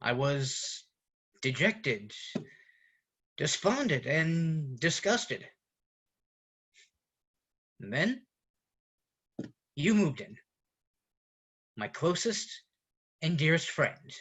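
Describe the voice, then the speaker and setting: A man playing an exasperated roommate ranting at his fussy friend in a deliberate theatrical monologue with dramatic pauses. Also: on the high side, big pitch swings, fairly bright tone, husky, full character voice. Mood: weary, dejected. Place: online video call performance.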